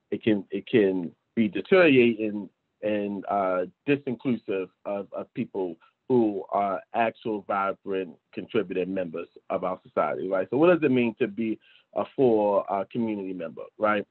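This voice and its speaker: Man, thirties, husky, earnest panel discussion, rhetorical questions, tinny video-call audio. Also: fairly low pitch. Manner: punctuates points with "right?", poses rhetorical questions. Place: small indoor room, at a desk. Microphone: video call computer microphone.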